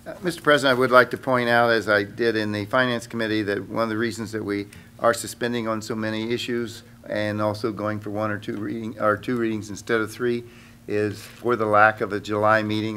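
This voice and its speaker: A man's voice: on the low side, little pitch movement, a little fast, pushed and bright, a little hiss or hum. A middle-aged man making formal remarks as a council member into a desk microphone in a chamber. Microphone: gooseneck desk microphone.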